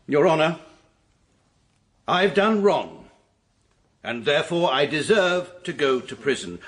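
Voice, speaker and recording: An actor playing a precise, pompous middle-aged barrister who narrates in a formal, deadpan way. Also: male voice, mid-range voice, no echo. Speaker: narrator and lead character. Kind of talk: radio drama narration.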